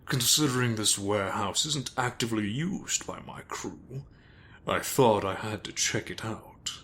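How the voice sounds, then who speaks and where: A man acts out a coolly formal mob boss for audio drama. He speaks slowly in a low but forceful, sharp-edged voice, measured and quietly threatening. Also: a little hiss or hum. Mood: formal concern. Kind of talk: dramatic audio role-play acting.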